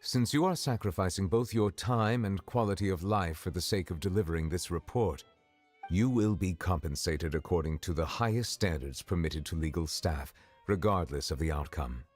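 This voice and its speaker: A man playing a grave, reserved, courteous high-ranking official in a video game, speaking in measured, formal, precise phrases. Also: darkish tone, soft background music. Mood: reassuring.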